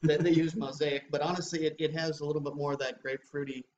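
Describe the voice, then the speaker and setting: A man's voice: on the high side, level tone, fast talker, a little mellow. Rambling middle-aged man, roomy webcam sound. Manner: chatty, rambling with many fillers. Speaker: beer review co-host. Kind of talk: casual chat. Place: small room with shelves of beer bottles. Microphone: webcam recording from a distance. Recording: roomy, distant, dull webcam sound with faint hiss.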